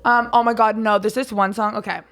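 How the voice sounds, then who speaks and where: A woman's voice: mid-range voice, flat delivery, brisk, fairly bright tone. A young woman telling stories in casual podcast chat, slangy, sassy and dramatic in her delivery. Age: young adult. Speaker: podcast guest.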